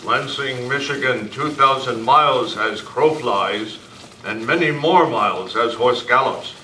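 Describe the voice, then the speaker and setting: Guy doing earnest western sidekick, slow broken english, sharp voice, echoey club room. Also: mid-range voice. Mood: matter-of-fact. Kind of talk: amateur old-time radio western acting. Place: club meeting room, far camera. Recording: roomy, distant camera sound with hiss.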